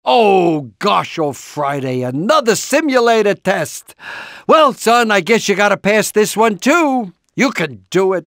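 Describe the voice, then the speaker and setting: A man's voice: high-pitched, very animated, a little slow, a bit bright, no echo, studio quality, exaggerated voice. A man voicing an anxious, encouraging, portly middle-aged rooster father in a cartoon video game, with a hesitant, rambling, fatherly manner. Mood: worried urging. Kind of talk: animated video game character lines.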